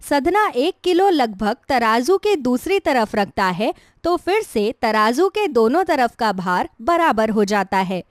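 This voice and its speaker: A woman's voice: on the high side, very animated, slow pace, few pauses, dry recording, clean studio sound. A woman narrating a moral tale as a scripted bedtime story.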